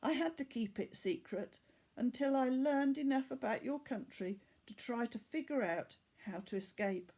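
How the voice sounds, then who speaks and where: A woman reading the part of a weary, earnest boy from Bangladesh in a storybook, telling his story in a measured way. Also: a little high, a little mellow. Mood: earnest, confiding.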